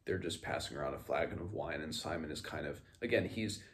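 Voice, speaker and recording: A man hosting a read-along, giving a casual book recap with rambling, halting, self-correcting commentary. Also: a bass voice.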